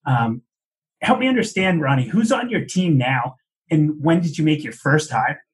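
A man's voice: a little high, expressive, normal speed. A man hosting a business podcast, asking friendly, long multi-part questions in a conversational interview. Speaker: podcast host.

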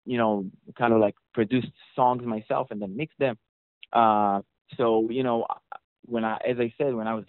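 A young man with an Israeli accent speaks in a loose, rambling way, full of "you know" and "like" and restarting his sentences as an interview guest. The sound is narrow and tinny, like a phone line, with no room echo at all. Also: mid-range voice. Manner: rambling, many fillers and restarts. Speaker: guest, mixing engineer. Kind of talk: casual interview. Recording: dry, phone-like audio.

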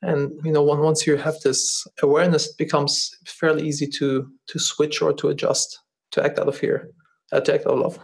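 A man's voice: a little high, flat delivery, a little fast, gravelly. A man with a German accent, a guest on a podcast, talking earnestly in a casual interview and often repeating words as he restarts. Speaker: podcast guest, business founder.

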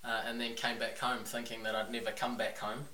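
New Zealand man, bright voice, echoey room. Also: mid-range voice, little pitch movement, a little fast. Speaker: podcast guest, business owner. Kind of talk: casual interview chat. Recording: roomy echo, distant mic, faint hiss.

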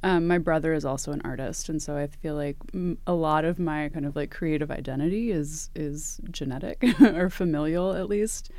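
A woman's voice: sits low, fairly even intonation, moderate pace, hardly any pauses, warm tone, dry recording. A woman from East Tennessee, an artist and academic advisor, answering interview questions thoughtfully with frequent ums and restarts. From East Tennessee, USA.

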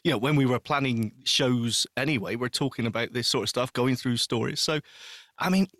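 A man's voice: mid-range voice, a little fast, a bit bright, no echo, clean studio sound. A man co-hosting a podcast in casual chat, joking and teasing drily. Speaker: podcast co-host.

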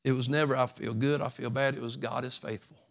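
A man preaching a sermon as pastor, folksy and repetitive, building from soft talk to loud fervent preaching. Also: mid-range voice, steady tone, fast talker, vocal fry. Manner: folksy, repeats phrases.

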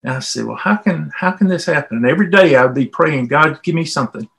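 A man's voice: on the high side, fast, creaky, fairly bright tone. A middle-aged man with a Southern US accent, telling personal stories in a folksy, conversational way as an interview guest. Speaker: volunteer interviewee.

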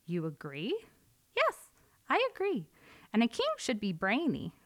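Woman playing tiny clever male wren, squeaky, singsong, much higher than her own voice. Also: wildly swooping pitch, unhurried, fairly bright tone, dry recording, full character voice. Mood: cheeky.

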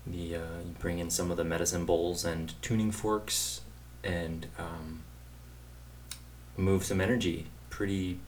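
A man's voice: low voice, fairly even intonation, measured pace, darkish tone, slight background noise. A man hosting a casual interview, easygoing and hesitant, with many fillers. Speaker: podcast host.

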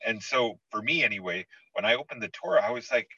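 A man hosting a casual interfaith conversation, talking quickly and repeating words as he goes. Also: fairly low pitch, animated, pushed and bright, slightly rough, no echo, far from the mic, muffled recording.

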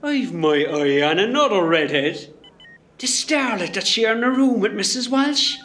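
Cheeky high-voiced Cork lad played by an actor. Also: male voice, animated, unhurried, pushed and bright, heavily acted. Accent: West Cork Irish. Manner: teasing, jokey. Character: cheeky, food-loving young male hospital worker. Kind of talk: comedy radio play acting.

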